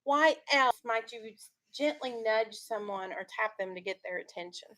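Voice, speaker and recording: A woman's voice: on the high side, expressive, normal speed, fairly bright tone. A woman teaching young schoolchildren in a classroom, leading a reading discussion with instructive questions. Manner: instructive, questioning, checking understanding.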